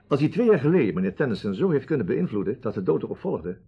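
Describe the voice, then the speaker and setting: A man's voice: medium pitch, very animated, fast, slightly rough, highs cut off. An actor playing a courteous, fatherly police commissioner in a Dutch radio drama. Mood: courteous, concerned.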